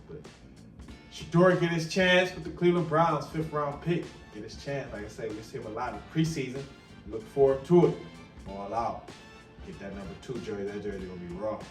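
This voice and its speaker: A man's voice: mid-range voice, brisk. Slangy male sports vlogger in a roomy space. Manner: slangy, repeats phrases for emphasis. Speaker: sports commentary video host. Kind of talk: casual sports commentary to viewers. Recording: roomy, distant mic, music behind.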